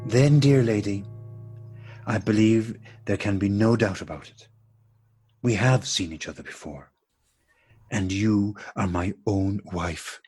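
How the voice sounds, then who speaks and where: Casting: male actor for bemused, very proper husband in absurdist radio drama. Husky, unhurried delivery, formal and repetitive. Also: fairly low pitch, a little mellow, soft background music. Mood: solemn certainty. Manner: formal, deliberate, repetitive politeness. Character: courteous, bemused married man piecing together a coincidence. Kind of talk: absurdist stage dialogue played as radio drama.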